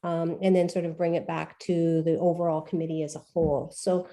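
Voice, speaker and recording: Woman exec presenting, halting with many restarts, low mellow voice. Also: flat delivery. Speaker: media company CEO. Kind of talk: presenting at an online orientation.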